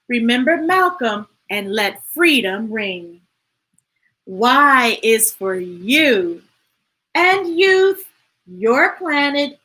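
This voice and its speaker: A woman hosting a children's storytime, reading aloud in an expressive, playful, emphatic way with chatty asides to viewers. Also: fairly high pitch.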